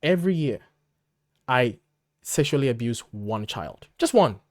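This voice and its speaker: A man's voice: medium pitch, lively intonation, a little slow, a little mellow, dry recording. Blunt, emphatic man in a dry room. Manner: emphatic, blunt, rhetorical questioning. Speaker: podcast co-host. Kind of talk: conversational commentary, arguing a point.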